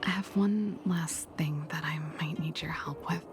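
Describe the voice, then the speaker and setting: A woman voicing a guarded young woman in a game who admits she runs from her problems, with a low, breathy delivery. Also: unhurried, slight background noise. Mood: reflective. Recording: game audio with music behind.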